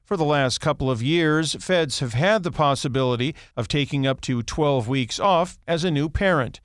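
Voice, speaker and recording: Male American news anchor, higher-set voice, very dry close sound, scripted bulletin read. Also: normal speed, clear, resonant tone, no room sound.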